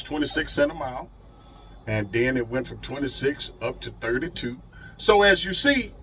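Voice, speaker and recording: A man's voice: mid-range voice, bright, forceful tone, far from the mic, slight background noise. A middle-aged man talks casually to his vlog audience in a folksy, emphatic way, repeating phrases for effect. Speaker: truck driver vlogger.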